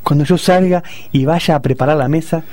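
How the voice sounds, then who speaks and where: An actor plays an Argentine man who is the practical, exasperated friend in a comic radio play. His voice is a touch high, and he chides and teases in Rioplatense slang while staying concerned. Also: a little fast, a little mellow, close to an everyday voice. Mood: firm, exasperated. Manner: chiding, teasing.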